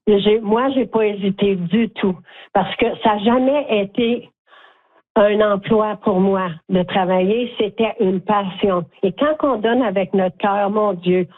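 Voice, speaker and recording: A woman's voice: mid-range voice, measured pace. A Franco-Ontarian woman in her fifties from Northern Ontario, a caregiver group leader speaking earnestly as an interview guest and often checking in with "ok?". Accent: Franco-Ontarian French. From Northern Ontario, Canada. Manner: warm, earnest, lots of "ok?" check-ins. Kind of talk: phone interview. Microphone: phone. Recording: tinny phone line.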